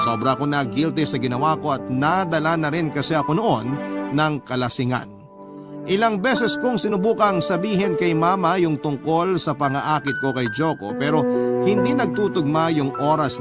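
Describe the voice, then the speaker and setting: Male radio-style love story narrator, slightly high voice, loud music bed. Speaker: storyteller host.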